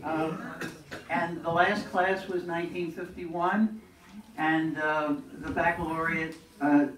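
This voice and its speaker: A man's voice: on the high side, level tone, slow pace. An older man giving a brief history in a hesitant way, full of fillers. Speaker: speaker. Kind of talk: historical overview. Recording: echoey room, distant mic, crowd.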